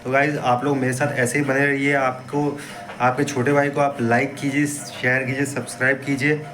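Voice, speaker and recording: A young man with a hoarse voice and a blocked nose, giving an upbeat pep talk to viewers in a casual fitness vlog. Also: medium pitch, flat delivery, moderate pace, a bit bright, low-quality recording. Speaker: fitness vlogger. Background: street traffic and wind.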